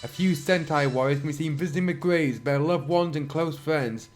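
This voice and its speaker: A man with a sore throat who reads fan fiction aloud, breaking off for dry, mocking asides and frequent throat clearing. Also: fairly high pitch, a little fast, faint music behind. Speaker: channel host reading fan fiction. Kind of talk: dramatic reading with sarcastic commentary.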